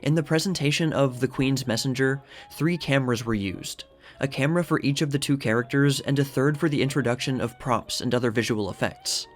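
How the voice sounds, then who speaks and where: Male narrator, medium pitch, for measured, formal scripted reading of old news copy over a music bed.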